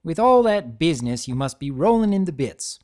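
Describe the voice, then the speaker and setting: A narrator acts out a folksy farm mare from a cartoon story. The voice is raised and loud, with a blunt, needling, taunting way of talking. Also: medium pitch, sing-song, moderate pace, pitched above the performer's own voice, natural voice.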